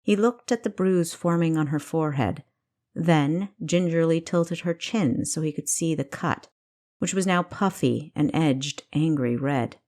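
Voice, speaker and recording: A woman's voice: low-pitched, unhurried, a little mellow. A woman reading her own novel as scripted, dramatic audiobook narration.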